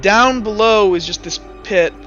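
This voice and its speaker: Game master, male. High register, sharp bright edge, faint music underneath, casual and halting delivery. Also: lo-fi sound. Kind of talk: narrating an online tabletop roleplaying game.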